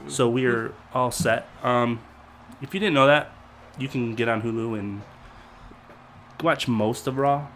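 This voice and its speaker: A man's voice: mid-range voice, fairly even intonation, unhurried, pauses often, fairly bright tone, a little hiss or hum. A man co-hosting a casual wrestling review show, talking loosely while getting over a cold that leaves him stuffy. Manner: rambling, loose and chatty. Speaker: co-host. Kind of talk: casual chat.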